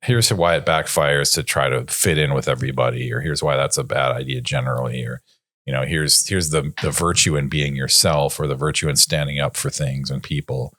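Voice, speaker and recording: A man in his late forties chatting reflectively as a podcast co-host, thinking aloud in a wry, halting way. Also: extremely low pitch, steady tone, a bit bright, dry recording, studio quality. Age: late 40s. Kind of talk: casual reflective panel chat.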